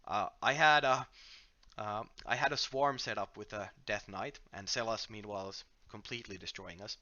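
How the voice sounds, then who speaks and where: Man, Finnish accent, livestream host, bright forward voice, relaxed self-deprecating chat, slightly dull headset audio. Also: on the low side, normal speed. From Finland. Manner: relaxed, self-deprecating, frequent filler words and restarts. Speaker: livestream host from the game company. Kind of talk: casual livestream chat while playing and reading viewer questions.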